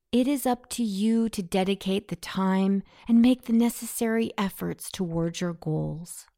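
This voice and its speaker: A woman leading a guided self-hypnosis meditation in a soothing, gentle, measured way. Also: medium pitch, gravelly, dry recording, studio quality.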